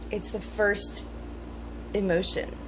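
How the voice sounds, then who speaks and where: A woman in a casual, rambling talk, part reading aloud and part musing, relaxed and thoughtful with frequent ums and pauses. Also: medium pitch. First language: English. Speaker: vlogger discussing esoteric teachings. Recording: noticeable background hum.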